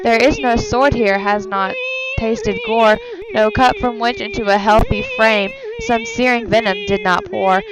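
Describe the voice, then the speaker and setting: A woman's voice: fairly high pitch, sing-song, a little slow, over music, rough recording. A woman playing a wheedling witch who peddles deadly wares, declaiming verse like a seller in a stage drama. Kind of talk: theatrical amateur verse reading of a stage drama. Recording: clear crowd noise behind.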